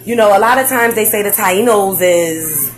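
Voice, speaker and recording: A young woman, chatting excitedly on a live stream, full of slang and "like"s and "um"s. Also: mid-range voice, normal speed, soft background music. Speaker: vlogger. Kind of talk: casual facebook live chat.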